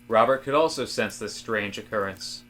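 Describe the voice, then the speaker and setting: A man narrating a scripted story in a deliberate, mock-solemn manner. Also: on the low side, noisy background.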